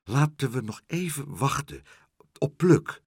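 A man's voice: medium pitch, expressive, a little slow, darkish tone, husky, dry recording, studio quality, pitched above the performer's own voice. Man acting Meneer Pen, a fretful shopkeeper. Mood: anxious. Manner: fretful, questioning, hesitant. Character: Meneer Pen, anxious gentle male shopkeeper.

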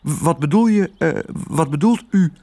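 A man voicing a spirited young girl in a children's story, speaking in a lively and direct way. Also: high-pitched, normal speed, slightly rough, voice pushed up, heavily acted. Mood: nervous, stammering. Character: young girl, spirited, anxious, plain-spoken daughter.

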